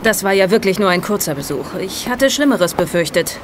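A woman's voice: medium pitch, lively intonation, brisk, close to an everyday voice. Actress as cool businesswoman, noisy background. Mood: cool, polite. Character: cool, businesslike female company owner. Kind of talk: German audio drama acting.

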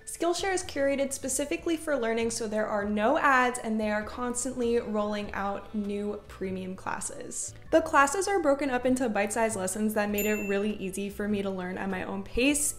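A young woman in her twenties talks to camera in a bright, chatty way, her voice sitting a little high. She is on a lapel mic in a room full of plants. The room gives her voice a noticeable echo. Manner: upbeat, chatty. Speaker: plant vlogger. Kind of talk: sponsor read to camera.

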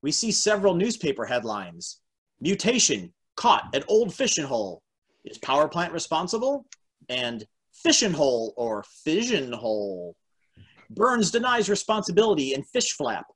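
A man's voice: a little high, huge pitch swings, normal speed, fairly bright tone, slightly rough. An American man in his forties hosting and narrating a read-through over a video call from home. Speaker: host and narrator. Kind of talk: reading stage directions and trivia on a video table read. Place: room at home. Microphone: video call, computer at arm's length. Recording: noisy video call with clicks.

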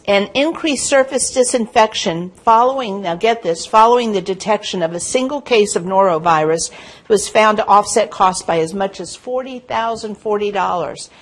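An older woman presenting an instructional health lecture in an explanatory way, stressing key points. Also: medium pitch. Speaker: health educator presenting a webcast. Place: indoor presentation studio at a lectern. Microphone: lapel mic.

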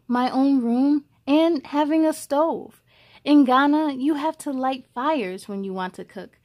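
A young woman storyteller reading aloud for children in an expressive, encouraging way, addressing them directly. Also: high-pitched. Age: young adult.

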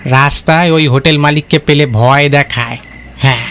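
A man's voice: a little high, fairly even intonation, moderate pace, fairly bright tone, a little hiss or hum, lightly acted. A man playing a protective village van driver in a horror cartoon, talking in a colloquial, admonishing way. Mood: knowing, explanatory. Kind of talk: Bengali horror cartoon voice acting.

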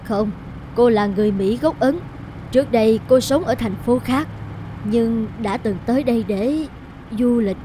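Kindly wealthy lady, mellow and measured woman acting. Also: a little high, a little hiss or hum, lightly acted. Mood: friendly. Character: wealthy, kindly, composed middle-aged Indian-American lady. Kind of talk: animated moral fairy-tale dubbing.

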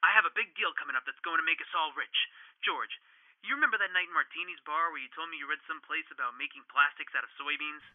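A man's voice: on the high side, fast, no room sound. An actor playing a brash, cocky, pushy businessman in a radio drama, heard through a telephone filter. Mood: excited, pushy. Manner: pushy, boisterous. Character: brash, cocky, fast-dealing businessman pal. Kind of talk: old-fashioned radio drama acting. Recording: thin, dry telephone-line sound.

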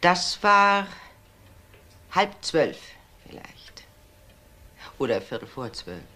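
Older matriarch: deep for a woman, unhurried, hesitant, radio crime drama. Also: very animated, bright, forceful tone, full character voice. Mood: hesitant, guarded. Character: composed older company matriarch, retiring boss.

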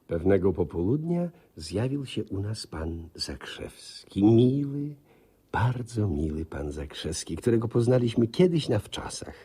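An actor playing an effusive, insistently hospitable husband in a 1950s Polish radio comedy, gushing and repeating himself with theatrical politeness. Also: male voice, lowish voice, big pitch swings, measured pace, warm tone, breathy edge, full character voice. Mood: wry, fond.

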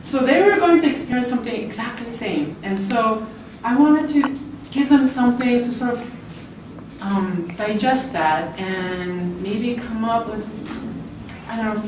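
Middle-aged woman presenter, chatty and laughing, recorded from far off in an echoey lecture room with steady noise. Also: measured pace. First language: English. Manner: chatty, laughing, acting out quoted lines with pitch jumps. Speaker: author and illustrator giving a book talk. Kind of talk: informal presentation. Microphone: far camera in the room. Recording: roomy, distant camera audio with noise.

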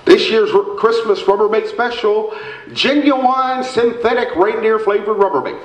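A man's voice: high voice, measured pace. A man playing a folksy, breezy small-town radio character in a staged comedy show, with drawling country phrasing. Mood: salesmanlike. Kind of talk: staged old-time radio comedy acting. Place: theatre stage, indoors. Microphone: stand microphone, camera far off. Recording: roomy stage recording from the audience.

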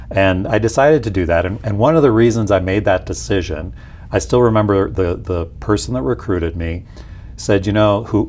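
A man's voice: low-pitched, a little mellow, slight background noise. A man in his forties, a company executive explaining things conversationally in an interview. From Peru. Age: 40s. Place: small indoor office lounge. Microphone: lapel mic.

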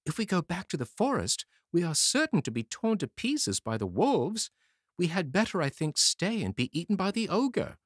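A man's voice: high voice, big pitch swings, moderate pace, no echo, clean studio sound, voice pushed up. A man voicing a small, brave, clever boy in a fairy tale, speaking earnestly and emphatically. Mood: pleading.

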